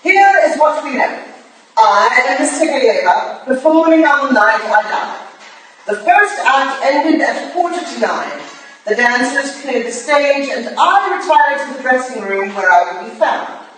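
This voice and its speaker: A woman's voice: high voice, sing-song, a little slow, a bit bright, full character voice. A woman in a staged reading, playing a stern, commanding Victorian lady investigator with a curt, clipped manner. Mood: focused, brisk. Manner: imperious, clipped, brusque. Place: roomy hall at a live reading. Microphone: distant recorder. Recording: echoey room from far off, faint noise.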